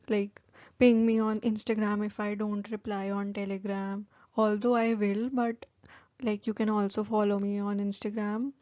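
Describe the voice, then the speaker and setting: A woman's voice: medium pitch, mellow, no room sound, lo-fi sound. A young woman with an Indian English accent, whose first language is Hindi, giving casual career advice to her viewers with many "like" fillers. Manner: chatty, many "like" fillers, informal. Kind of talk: casual advice talk to camera.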